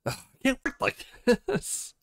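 A man's voice: slow, natural voice. A man voicing a fussy, bossy, theatrical male fashion photographer in a visual novel. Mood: dramatic frustration.

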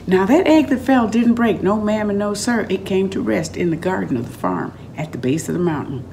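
An older woman reading a story aloud in an expressive, dramatic and deliberate storytelling manner. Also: medium pitch, creaky, a little hiss or hum. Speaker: author reading aloud from own book. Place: room at home, indoors.

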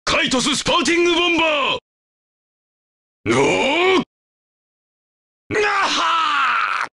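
A man voicing a brutal, domineering, gravelly warrior in an anime battle game. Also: high-pitched, big pitch swings, normal speed, bright, forceful tone, no room sound, studio quality, full character voice. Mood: roaring attack. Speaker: video game voice actor.

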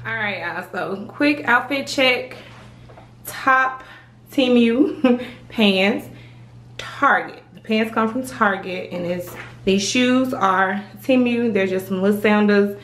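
A woman's voice: medium pitch, slow, fairly bright tone, distant mic, faint music behind. Chatty young woman vlogging. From Southern US. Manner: chatty, frank, laughs often. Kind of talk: casual chat to camera. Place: hotel room.

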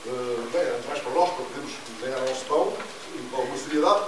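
Rapid-fire man in an echoey hall. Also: medium pitch. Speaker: panelist speaking about radio reporting. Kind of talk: fast, rambling public talk with many restarts. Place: library event room with audience. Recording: echoey hall, distant mic, noise.

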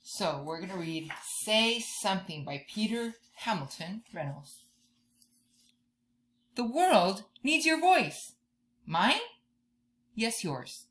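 A woman in her thirties doing an expressive children's read-aloud, drawing out key words like a teacher talking to young listeners. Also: mid-range voice, slow pace, long pauses, slight background noise. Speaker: teacher reading a picture book to children. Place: bedroom or room at home, indoors.

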